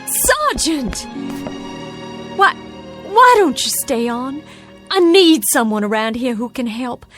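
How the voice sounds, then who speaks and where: An actress playing a lonely, sick, grieving war widow in a radio drama, halting, emotional and pleading. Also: female voice, sits high, sing-song, a bit bright, soft background music, exaggerated voice.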